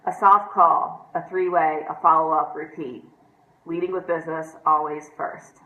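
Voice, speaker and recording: A woman's voice: sits low, steady tone, normal speed. A woman with a stuffy, congested nose gives a casual, encouraging training talk to newcomers, with lots of ums. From United States. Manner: chatty, many ums, encouraging. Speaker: team trainer. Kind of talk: informal training talk to camera. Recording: echoey room, dull lo-fi sound.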